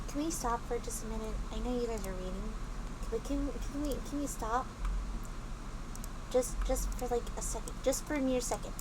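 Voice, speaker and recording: A woman giving casual gameplay commentary as a streamer, halting and repeating herself for emphasis. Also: fairly high pitch, background noise. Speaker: game streamer.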